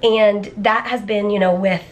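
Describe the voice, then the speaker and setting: A woman's voice: medium pitch, steady tone, a little slow. A woman in her thirties talking casually to a vlog audience, telling things in a chatty way with asides. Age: 30s. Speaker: vlogger, cystic fibrosis patient. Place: moving car and hospital clinic room. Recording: background noise, car and room ambience.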